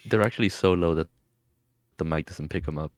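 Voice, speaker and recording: A man with a deep voice chatting casually, soft-spoken and prone to mumbling. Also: moderate pace, slight background noise. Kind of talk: casual chat.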